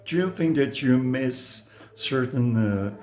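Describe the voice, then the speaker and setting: A man hosting an interview, asking questions in a halting way with many fillers. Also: medium pitch, expressive, darkish tone. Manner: very slow, halting, gravelly. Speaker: interviewer, host.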